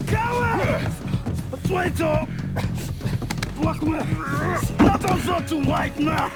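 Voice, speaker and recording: Furious defiant gangster, yelling curses way up high, game cutscene, music behind. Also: male voice, extremely slow, exaggerated voice. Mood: raging. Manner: shouting, cursing. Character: hot-headed, vengeful, defiant gangster shouting insults. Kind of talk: dramatic video game cutscene acting.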